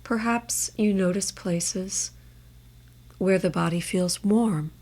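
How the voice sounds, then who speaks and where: A woman leads a guided meditation at a slow, measured pace. Her medium-pitched voice has a creaky edge at times. She gives gentle instructions and leaves room between phrases. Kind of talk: guided body scan meditation.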